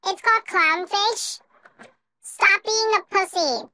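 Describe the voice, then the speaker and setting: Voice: extremely high pitch, takes it slowly, pushed and bright, heavily acted. A performer voicing a goofy, crude cartoon cup boy through a sped-up chipmunk voice changer, rambling in a silly way. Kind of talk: fan-made cartoon voice acting.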